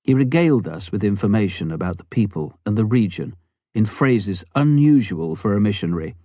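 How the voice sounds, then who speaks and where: A man's voice: fairly low pitch, lively intonation, fairly quick, warm tone, no room sound, clean studio sound. A man narrating a memoir as a scripted audiobook reading.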